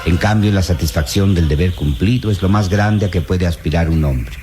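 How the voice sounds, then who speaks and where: Man playing a low-voiced, solemn elderly professor. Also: moderate pace, over music, close to an everyday voice. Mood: wistful, anguished. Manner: earnest, solemn, formal. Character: elderly idealistic male professor, principled, longing father. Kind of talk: old radio serial acting.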